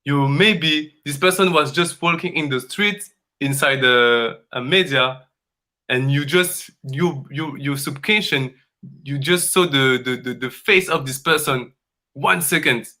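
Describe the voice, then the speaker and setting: Forceful man, earnest coaching talk. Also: a little high. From Congo. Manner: earnest and emphatic, repeats phrases, halting non-native English. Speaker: dance coach.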